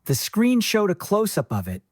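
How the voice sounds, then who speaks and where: Guy reading an audiobook story, measured delivery, really expressive pitch, dry sound. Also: slightly rough, no echo. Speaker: audiobook narrator. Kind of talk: scripted fiction narration.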